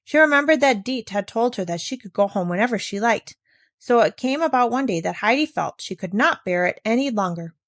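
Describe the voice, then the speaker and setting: Female audiobook narrator, children's classic, fairly high voice, scripted read-aloud, dry close sound. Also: expressive, brisk, no echo.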